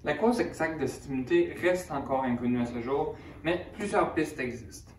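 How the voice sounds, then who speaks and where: A man's voice: fairly high pitch, moderate pace, recorded from a distance, a little hiss or hum. Young man presenting in an echoey room. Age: young adult. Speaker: student presenter. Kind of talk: science fair presentation. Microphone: far camera.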